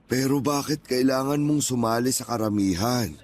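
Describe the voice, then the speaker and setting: A man acting as a gentle, hurt older father in a radio drama, pleading earnestly and emotionally with his grown child. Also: medium pitch, moderate pace, close to an everyday voice. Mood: reproachful. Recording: music playing behind the voice.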